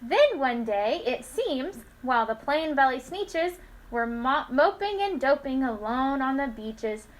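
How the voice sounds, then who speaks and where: A woman's voice: high voice, expressive, a little slow. A woman reading a rhyming children's story aloud.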